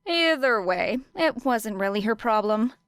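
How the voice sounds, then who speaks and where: A woman's voice: on the high side, animated, unhurried, a bit bright, clear, resonant tone, no echo, clean studio sound. A woman reading a story aloud as a scripted third-person audiobook narration. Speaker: audiobook reader of fan fiction.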